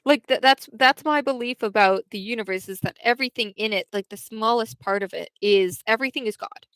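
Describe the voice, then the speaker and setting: A young woman talks casually to her livestream chat, wandering through her thoughts with frequent restarts. Her voice is smooth and resonant, and it is recorded close and dry, with no room sound. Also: fairly high pitch, a little fast, fairly bright tone, no room sound. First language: English.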